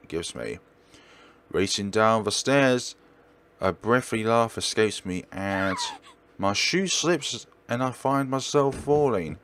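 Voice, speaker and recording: A man's voice: lowish voice, fairly bright tone. A man narrating a horror game as a let's player, reading the script aloud theatrically and adding his own commentary.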